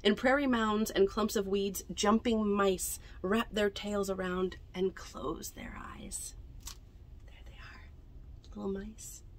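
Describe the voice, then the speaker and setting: A young woman reads picture books aloud to children in an unhurried storytelling manner, adding chatty asides about the pictures. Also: mid-range voice, steady tone. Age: young adult. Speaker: library storytime reader. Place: indoors among bookshelves in a small library bookmobile.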